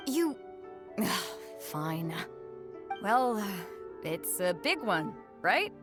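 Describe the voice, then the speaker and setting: Brash female captain, lively swinging intonation, blunt, teasing, easygoing, video game acting, music behind. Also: medium pitch, very slow. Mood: irked, blunt.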